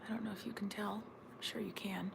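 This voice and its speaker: A woman hosting a casual morning livestream from home, talking in a hushed half-whisper while others sleep, rambling and joking as she reads the chat. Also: mid-range voice, steady tone, quick pace, creaky, background noise. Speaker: livestream host reading chat. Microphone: phone or camera on a tripod.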